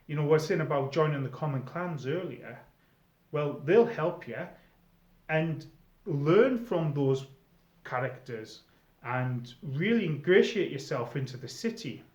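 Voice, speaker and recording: Echoey middle-aged man giving advice. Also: fairly high pitch. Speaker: experienced role player giving advice to newcomers. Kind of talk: informal advice monologue to viewers. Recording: echoey room, slightly dull sound.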